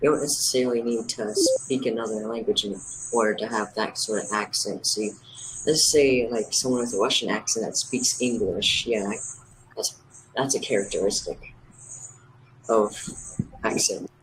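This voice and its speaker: A man's voice: on the low side, moderate pace, a bit bright, poor audio. A man talking hesitantly and in fragments in a casual group debate, recorded with background noise.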